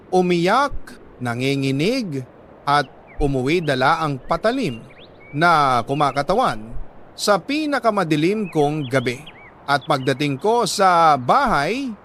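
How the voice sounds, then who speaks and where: Guy with a slightly high voice narrating a sad drama letter, solemn and emotional, pauses a lot, bit of background noise. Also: fairly bright tone. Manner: solemn, emotive, with short phrases and deliberate pauses. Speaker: narrator reading a listener's letter. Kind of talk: dramatic first-person scripted storytelling.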